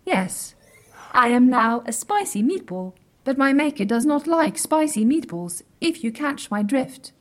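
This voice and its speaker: A high, female-voiced superintelligent computer from an audio comedy. It speaks in stilted, exact, formal phrases with a deadpan delivery. It is pedantic and literal-minded, and quietly smug about its own intellect. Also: measured pace. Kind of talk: scripted audio comedy drama.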